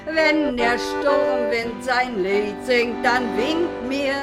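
A woman's voice: fairly high pitch, drawn-out words. A woman sings a sentimental old-style sailor song. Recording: loud accordion and guitar accompaniment.

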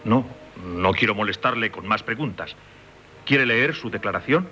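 Bright-voiced, insistent Spanish magistrate. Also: male voice, fairly low pitch, lively intonation, normal speed. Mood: probing, courteous. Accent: Castilian Spanish. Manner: formal, probing, insinuating. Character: insistent, formally courteous examining magistrate, male. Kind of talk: 1970s Spanish radio theatre acting.

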